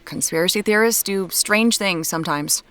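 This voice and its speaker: An actress playing a brisk, evasive young woman amateur detective in an audio drama, dry and matter-of-fact. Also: mid-range voice, fairly bright tone, smooth, close to an everyday voice. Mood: evasive, hurried.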